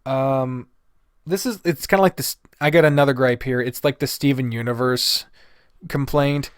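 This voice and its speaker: A man's voice: mid-range voice, fairly even intonation, normal speed, clear, resonant tone, no echo, studio quality. A man delivering a casual, blunt, exasperated rant full of swearing, as a reviewer giving his opinions. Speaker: reviewer of visual novels.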